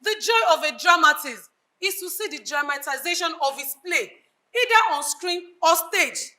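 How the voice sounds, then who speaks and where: A woman with a Nigerian accent playing a grieving, idealistic reformer in a live stage drama, declaiming in measured oratorical phrases. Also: extremely high pitch, lively intonation, bright, forceful tone, full character voice. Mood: wistful, earnest.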